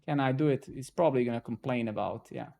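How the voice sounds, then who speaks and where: A man's voice: mid-range voice, quick pace, creaky, dark tone. A man originally from Serbia hosting a casual live-coding stream, talking loosely, swearing when frustrated and tagging lines with "right?" and "man". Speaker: stream host.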